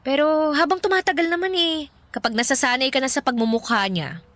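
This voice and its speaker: A woman's voice: sits high, fairly quick, fairly bright tone, no room sound. A Filipino actress playing a playful, pushy young woman matchmaking for a friend in a radio drama. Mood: earnest persuading. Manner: giggly, teasing, persuasive.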